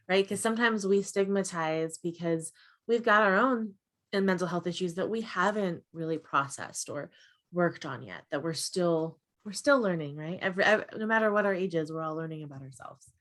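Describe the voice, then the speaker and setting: Lower-voiced woman explaining earnestly. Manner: earnest, explanatory, tags sentences with "right?". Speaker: guest expert on mental health. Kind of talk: webinar conversation.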